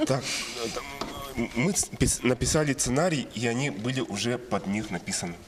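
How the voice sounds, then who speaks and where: A film director speaking haltingly in a TV interview, self-deprecating with small laughs. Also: male voice, mid-range voice, background noise.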